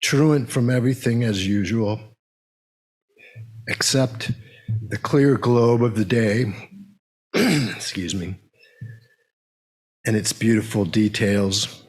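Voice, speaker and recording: A man leading a service, speaking in a halting way as he makes announcements and reads poetry to a congregation. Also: lowish voice, darkish tone, low-quality recording. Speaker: service leader and poem reader.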